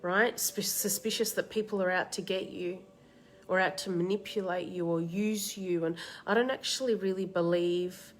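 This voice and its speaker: A woman with a slight cold and a tickly throat, hosting a live card reading and giving soft, reassuring advice to viewers by name. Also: lowish voice, steady tone, moderate pace, faint music behind. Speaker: card reader and meditation host. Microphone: live-stream setup.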